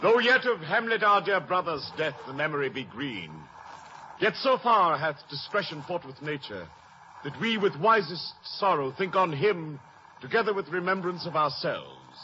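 Regal king, ringing declamation, sweeping pitch, old radio drama, muffled broadcast. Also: expressive, normal speed, sharp tone, slight background noise. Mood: stately. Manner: stately, formal, declamatory. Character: King Claudius, smooth regal usurper addressing his court. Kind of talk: 1950s radio Shakespeare acting.

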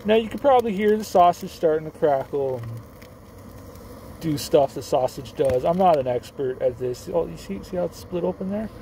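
A man's voice: on the high side, expressive, normal speed, dark tone, slight background noise, poor audio. A young man filming himself cooking on a camp stove inside a parked car, rambling and joking at himself as he goes, with the phone close by. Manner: rambling, self-mocking, offhand. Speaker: vlogger cooking on camera. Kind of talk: casual joking how-to vlog. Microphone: phone held or propped nearby. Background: sizzling camp stove.

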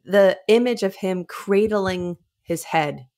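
A young woman co-hosting a casual book discussion, expressive and informal, with halting phrasing. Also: medium pitch. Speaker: podcast co-host. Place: indoor room. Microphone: close desk microphone.

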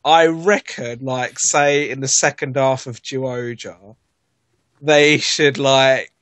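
A man's voice: medium pitch, steady tone, slow, fairly bright tone, dry recording. A man in casual chat, rambling with lots of fillers and restarts as a podcast guest. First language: not Japanese.

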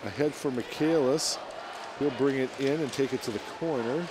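A man's voice: mid-range voice, moderate pace. A man doing live play-by-play ice hockey commentary, calling the action in clipped phrases. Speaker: play-by-play announcer. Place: indoor ice hockey arena. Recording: loud arena noise behind broadcast commentary.

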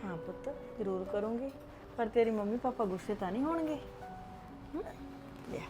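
A woman's voice: fairly high pitch, animated, moderate pace, music in the background, natural voice. An actress playing a loving, remorseful older grandmother who talks tenderly and pleads. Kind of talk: family drama acting.

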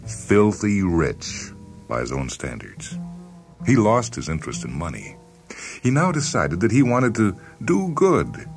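A man narrating a short story in a measured, deliberate, dry deadpan way, as a scripted dramatic reading. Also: low-pitched. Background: background music.

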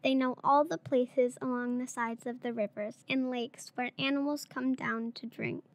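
A woman's voice: sits high, fairly even intonation, normal speed, dry recording. A girl of about eight or nine, a third-grade pupil, reading a picture book aloud. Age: child, around eight or nine.

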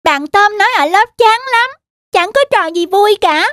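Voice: very high-pitched, normal speed, a bit bright, no echo, studio quality. An actor voicing a stubborn, eager little preschool boy in a cartoon, whining and pleading in a childish way. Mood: grumbling. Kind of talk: animated cartoon dialogue. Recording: music behind the voice.

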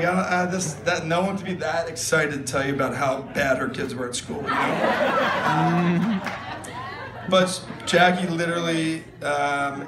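A young man giving a best man's wedding toast on a handheld mic, rambling with fillers and jokes. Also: fairly high pitch. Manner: rambling, filler-heavy, joking. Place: large wedding tent. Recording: echoey tent with loud room noise. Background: guests laughing.

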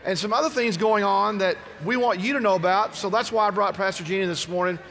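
High-pitched man, upbeat event host. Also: fast, fairly bright tone. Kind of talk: upbeat live event announcing. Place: stage in a large convention hall. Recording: faint live hall background noise.

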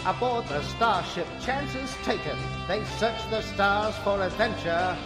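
A theatrical adventure serial announcer, put on by a man, high in pitch and swooping widely. He delivers a bombastic introduction over loud music. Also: animated, unhurried.

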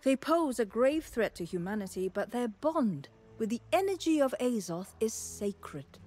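A woman's voice: on the high side, dark tone. A woman playing an eager, thoughtful alchemist in a fantasy game, explaining things expressively and reflectively. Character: eager, thoughtful female alchemist, devoted to nature. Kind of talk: scripted game dialogue.

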